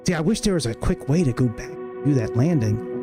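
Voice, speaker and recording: Foul-mouthed man streaming over loud music. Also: mid-range voice, lively intonation, brisk. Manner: foul-mouthed, self-deprecating grumbling. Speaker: flight sim streamer. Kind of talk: casual livestream gameplay commentary.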